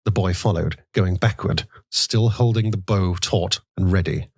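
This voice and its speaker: A man narrating a literary audiobook in a measured, dramatic storytelling manner. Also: sits low, a little mellow, husky, no room sound, clean studio sound.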